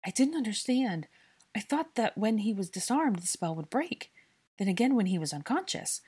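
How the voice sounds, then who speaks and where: A woman's voice: mid-range voice, very animated, normal speed, a little mellow, breathy edge, pitched above the performer's own voice. A woman voicing an emotional young witch in an audiobook, urgent, tearful and pleading. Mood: tearful, apologetic. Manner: tearful, breathless, urgent outbursts.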